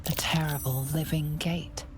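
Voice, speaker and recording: A woman playing an ominous, all-knowing narrator of a dark fantasy game, telling it in a measured way. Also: sits low, fairly even intonation, slight background noise. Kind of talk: scripted second-person narration. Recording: music behind the voice.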